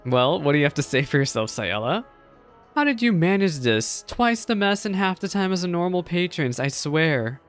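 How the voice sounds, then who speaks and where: A man's voice: fairly high pitch, big pitch swings, normal speed, smooth, soft background music, pitched above the performer's own voice. A man voicing a stern, exasperated tavern keeper in a game, dry and scolding.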